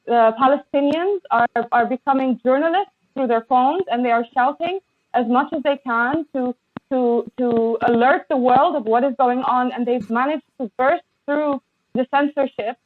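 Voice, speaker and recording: Impassioned woman on a tinny line. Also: sits high. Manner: halting, many restarts and repeated words. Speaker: podcast guest. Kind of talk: impassioned conversation. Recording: tinny phone-like line, dry.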